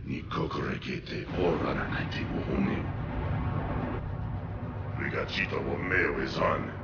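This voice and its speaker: A man playing an alien warrior zealot in a game cinematic, declaiming fervently in an alien tongue with rasping cries. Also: a bass voice, unhurried, loud music behind the voice. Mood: grave, ominous. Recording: muffled, very noisy, echoing, distant.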